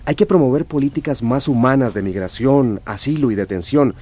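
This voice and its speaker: A man acting an earnest journalist in a radio drama. He has a medium-pitched, measured voice, with faint background noise. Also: mellow, lightly acted.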